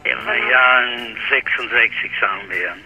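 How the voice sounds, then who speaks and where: An actor playing a vague older former hippie, answering questions haltingly on the phone in a radio drama. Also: male voice, medium pitch, dull audio, close to an everyday voice. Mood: halting, recalling.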